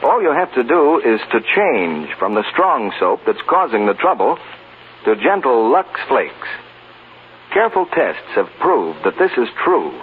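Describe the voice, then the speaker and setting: Animated American radio announcer, tinny vintage sound. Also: male voice, medium pitch, measured pace. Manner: polished, emphatic salesman delivery. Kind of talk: scripted 1940s radio advertising announcement. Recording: tinny, faintly noisy 1940s radio recording.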